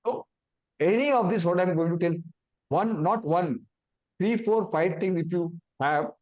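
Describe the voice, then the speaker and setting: Indian man explaining, slightly high voice. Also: highs cut off. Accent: Indian English. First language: Hindi. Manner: explains in simple repeated phrases, acting out confused thoughts. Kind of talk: awareness talk with everyday examples.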